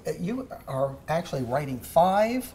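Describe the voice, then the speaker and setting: A man hosting a kids' cooking show in a home kitchen, talking in a halting way with many "uh" fillers. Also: a little high, sing-song, warm tone. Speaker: host.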